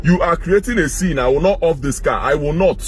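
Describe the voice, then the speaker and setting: A man's voice: fairly high pitch, a little fast, a little hiss or hum, lightly acted. A man playing an exasperated husband arguing from his car, repeating his protests insistently in domestic drama. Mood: angry, protesting. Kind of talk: improvised-sounding domestic argument. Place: inside a car on a street.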